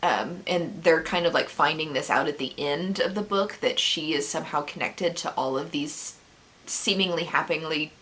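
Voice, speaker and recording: Low-pitched, slow-paced giggly woman. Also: fairly bright tone. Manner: giggly, laughs through her sentences. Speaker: book reviewer vlogger. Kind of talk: casual chat recounting a book's plot.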